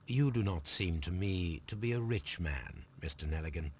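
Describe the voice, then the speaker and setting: An actor playing a brilliant, precise English gentleman detective in a video game, speaking formally and coolly. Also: deep voice, expressive, slight background noise. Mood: coolly analytical. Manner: formal, measured, coolly deductive delivery. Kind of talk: scripted video game dialogue.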